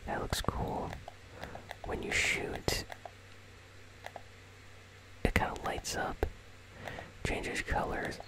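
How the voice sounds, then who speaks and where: A host whispering casual, conversational ASMR commentary on a video game in a breathy, unvoiced voice, close to the microphone. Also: drawn-out words, long pauses, low-quality recording. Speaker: host of a gaming news channel.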